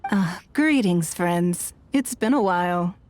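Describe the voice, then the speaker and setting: A woman's voice: on the low side, lively intonation, slow, darkish tone, slight background noise. Poised, sly aristocratic lady. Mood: wry, composed. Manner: formal, eloquent, wry. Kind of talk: anime game character acting.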